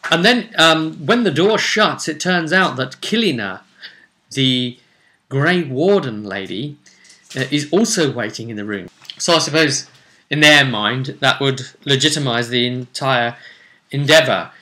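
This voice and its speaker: A man's voice: medium pitch, lively intonation, measured pace, bright, forceful tone. A man recounting a tabletop roleplay session as game master, storytelling and musing aloud with rhetorical questions. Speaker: game master recapping a campaign.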